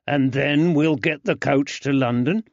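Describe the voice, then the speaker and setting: A man's voice: on the high side, unhurried, no room sound, pitched above the performer's own voice. Male narrator playing a testy grey-browed constable, blunt, raised and grumbling; storybook reading, dry. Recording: dull lo-fi, dry.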